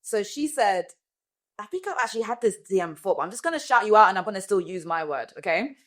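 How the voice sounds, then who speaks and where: A woman hosting a comedy podcast, chatting casually with playful teasing and drawn-out words. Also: mid-range voice, very animated, a bit bright. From Ghana. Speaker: podcast host. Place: studio podcast.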